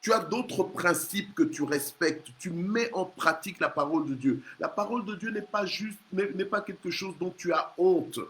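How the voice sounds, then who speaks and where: A man's voice: sits high, normal speed, few pauses, fairly bright tone. A man preaching an exhorting sermon in an emphatic, repetitive way. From Congo. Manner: emphatic, repeats phrases, punctuates with hallelujah and amen. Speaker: preacher leading a morning prayer. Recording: clear background noise, ambient sound.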